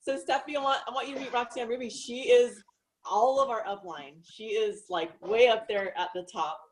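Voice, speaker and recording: A woman's voice: on the high side, fairly even intonation, normal speed. A woman hosting a casual live training chat, talking in an enthusiastic, gushing way. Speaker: host of a team training live.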